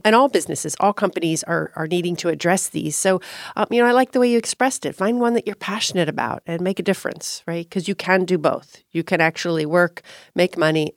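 A woman's voice: low-pitched, no echo, clean studio sound. A woman hosting an interview, upbeat and full of praise for her guest. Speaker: interview host.

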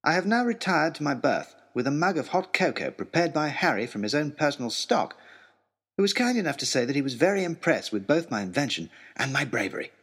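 A male storyteller delivers a first-person adventure tale. His delivery is theatrical and expressive, with pitch that rises and falls widely. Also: brisk, breathy edge.

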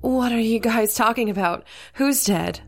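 A woman's voice: a little high, unhurried, soft background music. An actress playing a worried, bewildered female hotel employee in an audio drama, speaking hesitantly.

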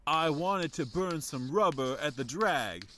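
A man's voice: on the high side, expressive, unhurried, sharp tone. A man playing a cocky, jive-talking 1950s greaser in a spoof radio commercial. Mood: cool, cocky. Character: cocky 1950s greaser hepcat, slick and impatient.

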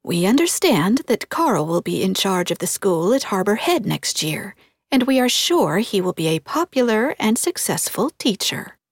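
A woman's voice: medium pitch, sing-song, normal speed, slightly rough, no room sound, studio quality. A woman voicing a prim, touchy older housekeeper in a storybook, speaking in a formal, pointed way. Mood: formal, reading out.

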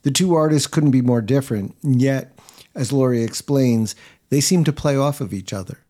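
A man hosting and narrating a report, with a steady, scripted delivery. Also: mid-range voice, moderate pace. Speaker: host and narrator.

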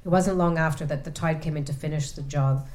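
A woman author answering audience questions about her novel, speaking thoughtfully with many pauses and restarts. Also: deep voice, fairly even intonation, a little mellow. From United States. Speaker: author at a reading. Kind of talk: book talk and audience Q&A. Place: event room with an audience.

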